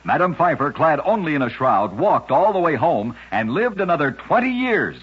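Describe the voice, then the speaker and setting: A man tells scripted curiosities as an old-time radio narrator. His voice is husky and rises and falls in lively, dramatic, measured phrases. The sound is that of a dull, aged radio recording. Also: a little high.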